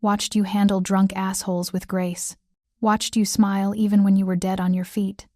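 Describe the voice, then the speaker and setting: A woman reading a calm, commanding mafia boss. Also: mid-range voice, moderate pace, no echo, clean studio sound, natural voice.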